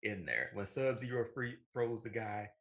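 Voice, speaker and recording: A man's voice: lowish voice, lively intonation, moderate pace, fairly bright tone. A man in his thirties with an American accent, giving emphatic, enthusiastic opinions as he casually discusses movies as a co-host. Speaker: co-host of a movie and video game show.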